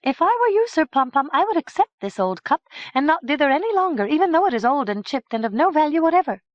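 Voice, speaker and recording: A clever young princess speaks briskly and firmly in a raised voice, as a woman reader puts her on. The recording is dull and dry. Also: high voice, sing-song, no room sound, pitched above the performer's own voice.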